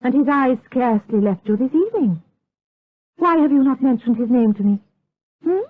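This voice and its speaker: Period drama casting: protective widowed mother, formal, wary and stern, slightly rough voice, thin lo-fi radio sound. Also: sits high, expressive, normal speed, dry recording. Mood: probing. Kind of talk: period audio drama acting. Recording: dull lo-fi radio drama recording.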